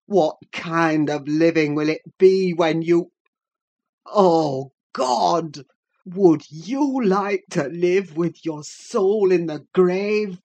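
A woman reader voicing a young man wild with grief, speaking in a harsh, accusing way that rises into shouts. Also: fairly low pitch, very slow, dull audio, exaggerated voice. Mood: anguished.

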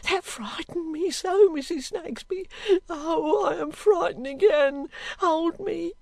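A woman's voice: sits high, animated, measured pace, darkish tone, dry recording, pitched above the performer's own voice. A woman voicing a frightened, piteous young servant girl in an audiobook, pleading and repeating herself in a plaintive way. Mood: frightened, distraught. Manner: plaintive, pleading, breathlessly repetitive. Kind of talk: audiobook character acting.

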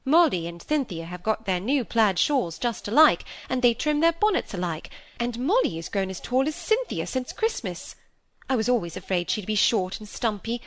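A woman's voice: on the high side, fairly bright tone, no echo, voice pushed up. A woman voicing a stern, bossy older spinster in a storybook, speaking in an emphatic, sententious way. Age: middle-aged or older.

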